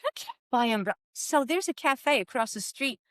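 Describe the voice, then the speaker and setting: A woman in her sixties from California, a former cycling soigneur telling animated stories in an interview and acting out the dialogue. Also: high voice, normal speed, no echo, clean studio sound. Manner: lively, acts out quoted dialogue.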